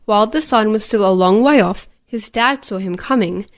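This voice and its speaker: A woman's voice: medium pitch, moderate pace. A woman reading a scripted Bible story for children.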